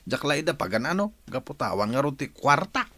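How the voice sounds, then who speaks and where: An actor playing a modest young farm worker in an Ilocano radio drama, with an Ilocano accent. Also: male voice, mid-range voice, big pitch swings, fairly quick, pushed and bright, no room sound. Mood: earnest. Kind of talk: Filipino radio drama acting.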